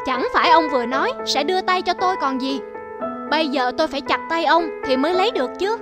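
A woman voicing a clever young male woodcutter in an animated folk tale, steady and patiently firm. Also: high-pitched, fast talker, loud music behind the voice, lightly acted. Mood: triumphant.

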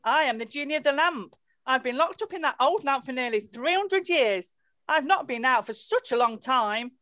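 A woman's voice: high voice, lively intonation, moderate pace, sharp tone, dry recording. A woman playing an ancient, obliging genie of the lamp with grand, servile lines in an amateur pantomime reading. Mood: eager to serve. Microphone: computer mic on video call.

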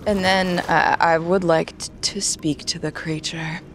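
A woman casually role-playing as a player in a tabletop game, haltingly thinking aloud as she describes her actions. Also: on the low side, fairly even intonation, slight background noise.

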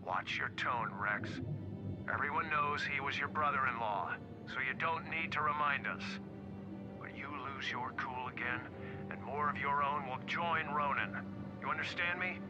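An actor playing a stern, authoritative police captain reprimanding someone over the phone in a video game. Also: male voice, mid-range voice, moderate pace, lo-fi sound, over music. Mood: scolding, angry. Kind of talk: dramatic game voice acting.

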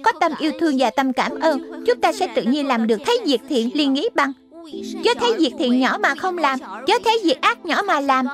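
A woman's voice: sits high, very animated, fairly quick. A woman voicing a small, childlike lion spirit in a children's cartoon dub, explaining things earnestly and patiently like a teacher. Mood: patient teaching. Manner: didactic, explanatory.

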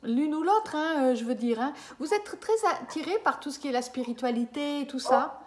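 A woman's voice: sits high, expressive, moderate pace. A woman in her fifties, a medium giving a reading over webcam, hesitant with many fillers and checking in with her listener. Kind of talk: live reading session with a client. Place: small room indoors. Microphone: webcam at desk.

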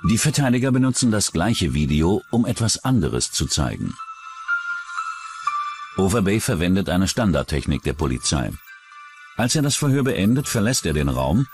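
A man's voice: deep voice, sing-song, moderate pace, music in the background. A man narrating a scripted true-crime documentary as its narrator.

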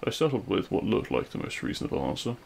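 A man playing a regretful, lovelorn supervillain in an audio roleplay, speaking in a hushed, halting, wistful way. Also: low voice, very animated, vocal fry, slight background noise, exaggerated voice. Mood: confessional. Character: regretful lovelorn male supervillain addressing his fallen hero. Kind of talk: scripted ASMR audio roleplay monologue.

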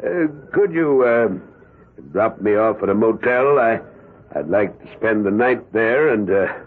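A man's voice: on the low side, lively intonation. An older man playing an elderly, cold and boastful Nazi war criminal in hiding, in 1970s radio drama style. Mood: nervous, courteous. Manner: halting and courteous, turning menacing and grandiose. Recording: muffled vintage radio broadcast.

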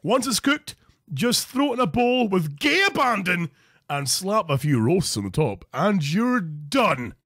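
A man's voice: high voice, a little slow, husky, dry recording, clean studio sound. A Scottish man hosting a crude comic cooking show, narrating recipes with jokes. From Scotland. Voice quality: crude jokey, animated delivery. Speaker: comedy cooking show host.

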